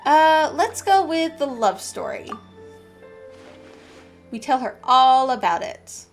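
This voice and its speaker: A woman's voice: high-pitched, very animated, slow, faint music behind. A woman game streamer reading story text aloud and commenting in a casual, agreeable way during a live playthrough.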